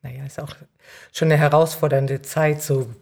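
A woman's voice: low voice, little pitch movement, normal speed, gravelly, a little mellow. A woman chatting informally and colloquially as a guest in a discussion. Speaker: podcast guest, city councillor. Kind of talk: casual conversational discussion.

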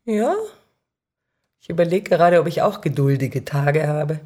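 A woman's voice: sits low, measured pace, warm tone. A woman guest on a podcast, chatting casually in a reflective, slightly hesitant way. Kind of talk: casual conversational chat.

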